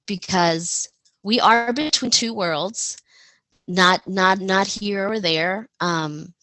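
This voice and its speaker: Slow-talking woman sharing personal reflections. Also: fairly low pitch, sharp tone, dry recording, low-quality recording. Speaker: panel speaker. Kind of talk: personal storytelling presentation.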